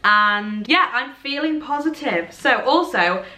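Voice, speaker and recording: A woman's voice: fairly high pitch, animated, takes it slowly, pushed and bright, echoey room. A young woman in her twenties from Derbyshire with a Midlands accent, chatting casually and rambling to a camera at home. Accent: English Midlands. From Derbyshire, England. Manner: chatty, rambling, self-deprecating. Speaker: vlogger. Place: bright room at home, indoors.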